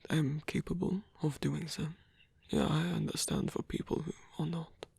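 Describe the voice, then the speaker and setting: A man playing a lonely, courteous old vampire in an intimate ASMR roleplay, murmuring softly and unhurriedly in a breathy whisper. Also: on the high side, fairly even intonation, moderate pace, no echo, exaggerated voice. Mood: gentle, hospitable.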